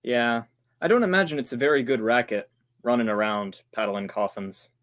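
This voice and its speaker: A man's voice: medium pitch, normal speed, a bit bright, close to an everyday voice. A man playing an easygoing, wisecracking radio actor in a 1940s-style radio play. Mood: wry. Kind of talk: old-time 1940s radio play acting.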